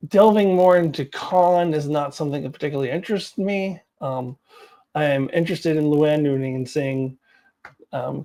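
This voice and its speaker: A woman hosting a casual fan commentary video. Her voice has a gravelly fry to it. She talks bluntly and informally, haltingly working through her opinions for the viewer. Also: extremely low pitch, dark tone.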